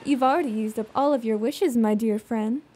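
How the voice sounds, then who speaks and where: Smug, worldly genie played by a woman, high voice, calm and formally teasing, for audio theater. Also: normal speed, a little hiss or hum, close to an everyday voice. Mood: serene, teasing.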